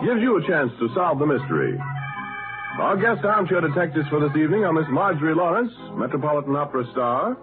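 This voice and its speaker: A man announcing formally and dramatically in measured 1930s radio style. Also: on the high side. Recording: narrow vintage radio, music behind.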